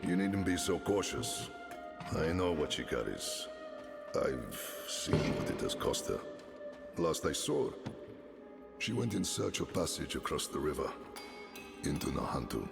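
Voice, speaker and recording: An actor playing a kindly older town leader who speaks in a fatherly, unhurried way. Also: extremely low pitch, big pitch swings, creaky, in a large hall, over music, exaggerated voice. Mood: calm kindly. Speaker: town elder and adoptive father.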